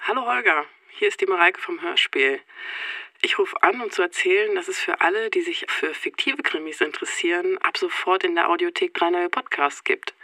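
A woman leaves an informal voicemail-style promo in a friendly way. Her voice is very bright and sharp, with some fry, and sounds dry and close. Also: low voice, dry recording. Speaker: radio drama department colleague giving a promo. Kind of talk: voicemail-style programme announcement.

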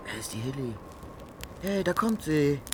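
A man's voice: fairly high pitch, big pitch swings, unhurried, background noise. A man playing a boastful, dim homeless giant in a German crime radio drama, terse, grunting and evasive. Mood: alert. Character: boastful, thick-witted homeless giant, evasive and menacing-soft.

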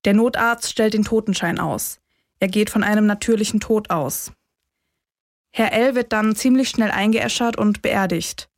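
A woman's voice: mid-range voice, moderate pace. A woman reading scripted true-crime narration as a podcast host.

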